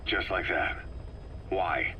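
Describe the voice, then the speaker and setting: A hardened soldier commander in a video game tape, answering in short, grim, clipped lines. His voice sits extremely low with a forceful, hard-edged tone that carries through a faint hiss behind it. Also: slow pace, exaggerated voice.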